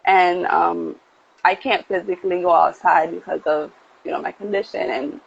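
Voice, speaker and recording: A woman, talking earnestly and haltingly with many fillers in a casual livestream conversation. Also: on the low side, vocal fry, breathy edge, highs cut off. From United States. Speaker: model and activist, guest. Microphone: phone, video call.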